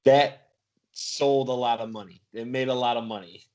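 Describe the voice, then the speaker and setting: A man's voice: mid-range voice, fairly even intonation, normal speed, a little mellow. Man hosting a casual quiz. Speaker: podcast game host.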